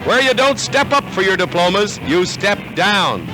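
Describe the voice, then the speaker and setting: A man's voice: high voice, big pitch swings, normal speed, rough recording. An American man delivering scripted 1940s newsreel narration in a dramatic, punchy announcer manner, on a vintage film soundtrack. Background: music.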